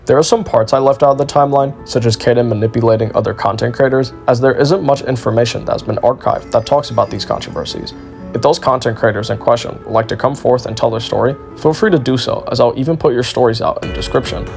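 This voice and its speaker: A man's voice: medium pitch, fast, creaky, faint music behind. A man narrating a scripted exposé video as its commentator.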